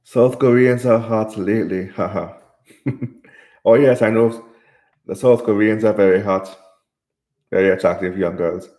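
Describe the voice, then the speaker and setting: A man's voice: on the low side, fairly even intonation, measured pace, pauses often, darkish tone, echoey room. A man from Jamaica hosting a live stream, reading viewer comments aloud and answering them in casual chat.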